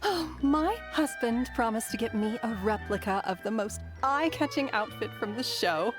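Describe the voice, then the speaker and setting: A woman playing a chatty, well-off married lady in a video game, excitable and fussy. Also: a little high, normal speed, close to an everyday voice. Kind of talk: game dialogue. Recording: game audio over background music.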